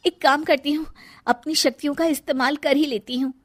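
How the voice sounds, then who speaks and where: Woman voicing a high-pitched, flustered young bride. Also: steady tone, brisk, no echo, close to an everyday voice. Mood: panicked. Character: young bride, secretly a shape-shifting serpent, dutiful but flustered. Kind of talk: Hindi cartoon story dialogue.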